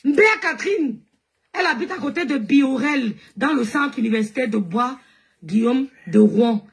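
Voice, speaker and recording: A Cameroonian woman hosting a live stream, mocking and laughing as she rants and often shouts. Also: high voice, expressive, moderate pace, slightly rough. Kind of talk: heated live-stream rant.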